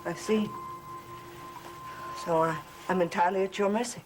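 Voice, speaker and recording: A woman's voice: mid-range voice, steady tone, normal speed, faint music behind, natural voice, rough recording. An actress playing a proud, portentous fugitive woman of the occult in a gothic television soap, speaking formally. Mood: anxious, wary.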